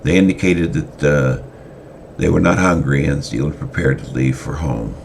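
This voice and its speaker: Very deep gravelly man reading a story. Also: slight background noise. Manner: halting, with fillers and restarts. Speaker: storyteller reading his own tale. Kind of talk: semi-dramatic reading.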